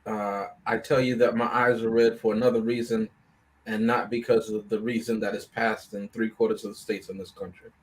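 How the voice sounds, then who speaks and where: A man with a fairly low voice and very little rise and fall in pitch. He talks as a movie review panelist, explaining things in a measured, deliberate and casual way. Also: level tone, low-quality recording. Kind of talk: casual film discussion and synopsis.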